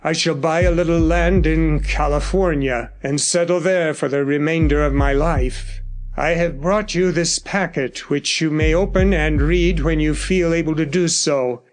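A man voicing a stern, grave older Victorian doctor who speaks in a measured, authoritative way. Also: fairly high pitch, steady tone, pitched below the performer's own voice, lightly acted.

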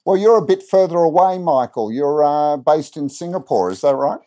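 Mellow-voiced Australian-raised podcast host. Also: male voice, fairly high pitch, lively intonation, normal speed. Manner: conversational, leading questions with tag questions. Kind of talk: interview podcast hosting.

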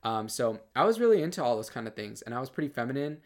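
Earnest soft-voiced man giving testimony. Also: mid-range voice, very animated, quick pace. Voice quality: soft, somewhat feminine-sounding voice. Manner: earnest, lots of fillers and repeated starts. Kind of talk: casual personal testimony.

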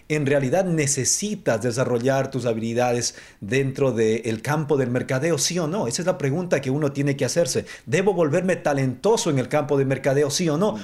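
A man with a mid-pitched voice talks as an interview host. He explains points conversationally and in a measured manner, often framing them as rhetorical questions. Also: darkish tone.

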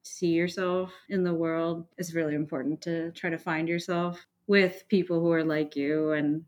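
Female guest from India, Indian accent, animated storyteller, lowish pitch. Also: moderate pace. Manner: animated storyteller, laughs often. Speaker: guest, knitter. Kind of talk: casual interview chat.

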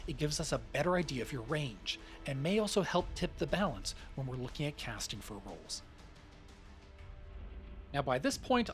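A man's voice: medium pitch, fairly bright tone. A man hosting a podcast, reading scripted narration as a writer presenting his work.

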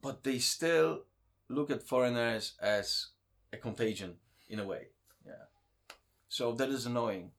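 A man's voice: mid-range voice, unhurried. Hesitant man. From Poland. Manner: hesitant, many ums and restarts. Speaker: interview guest. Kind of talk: casual interview.

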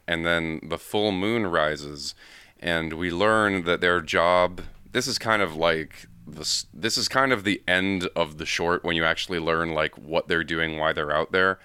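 Deep voiced guy chatting about movies, clear n forceful sound, explains stuff carefully. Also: moderate pace, dry recording. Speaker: podcast co-host. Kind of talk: casual film discussion.

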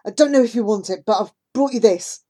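A woman's voice: on the high side, fast, mellow, pitched above the performer's own voice, natural voice. A woman voicing a guilty, worried young boy who is torn about leaving his friend. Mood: pleading. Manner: hesitant, apologetic.

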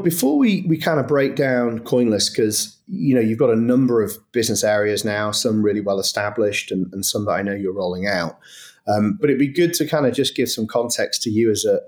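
A man's voice: fairly low pitch, normal speed. A man from Manchester hosting a podcast interview, asking long rambling questions. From Manchester, England. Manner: conversational, hesitant questions with many fillers. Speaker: podcast host.

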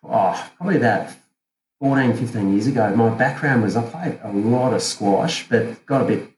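A man's voice: medium pitch, normal speed, a little mellow. A man from South Africa, a podcast guest talking casually and hesitantly, with many fillers and restarts. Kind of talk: casual interview chat. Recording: roomy with faint ambient noise.

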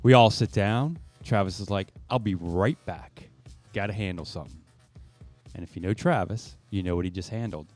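A man's voice: low voice, normal speed, darkish tone, soft background music. A man hosting a podcast in a casual, joking chat style. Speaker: podcast host.

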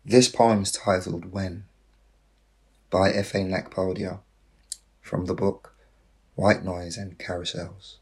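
A man reading his poem aloud in short, measured phrases, with a husky voice. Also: sits low, flat delivery. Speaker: poet reading own poem. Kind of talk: poetry reading.

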